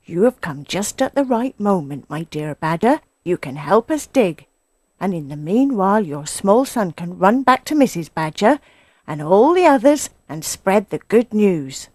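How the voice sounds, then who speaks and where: A woman's voice: on the low side, darkish tone, no room sound. A woman voicing a sly, confident male fox in a children's story, suave and assured.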